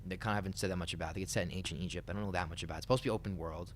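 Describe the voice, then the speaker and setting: A man's voice: sits low, fairly even intonation, very fast. A man talking casually and with opinions as a panelist in an informal podcast discussion. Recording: clear background noise.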